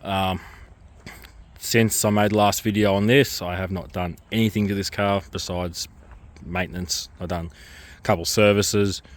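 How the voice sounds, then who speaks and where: A very low-voiced man walks through his car projects in a casual vlog. He is coming down with a cold and his voice is a little worn. He talks in a loose, rambling way, full of ums and restarts. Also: steady tone, moderate pace. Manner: rambling, hesitant, lots of ums. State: getting a cold, losing his voice. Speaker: car vlogger.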